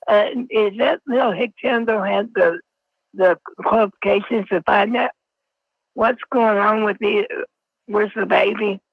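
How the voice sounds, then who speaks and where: A man phones in through a voice changer with a high, extremely creaky voice. He is halting and repeats himself, and the dull, dry call sounds like a radio. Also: steady tone, no echo. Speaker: caller to a live stream. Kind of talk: unscripted phone-in.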